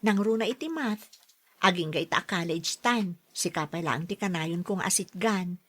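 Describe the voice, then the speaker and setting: A woman playing a caring, devout young college student in a radio drama, speaking gently and encouragingly. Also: fairly low pitch, normal speed. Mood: grateful.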